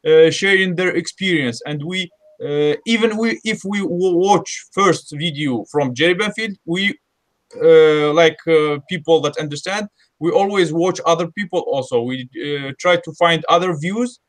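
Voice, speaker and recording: A man's voice: fairly high pitch, a little slow. Hesitant man with a Russian accent. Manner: hesitant, many fillers and restarts. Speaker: host. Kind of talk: casual chat.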